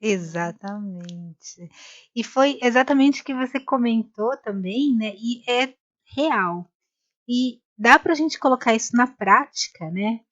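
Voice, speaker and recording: A woman's voice: a little high, slow pace, fairly bright tone, highs cut off. A woman co-hosting a live conversation, telling a story in a rambling way with many restarts. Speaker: co-host of a live talk. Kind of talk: casual chat.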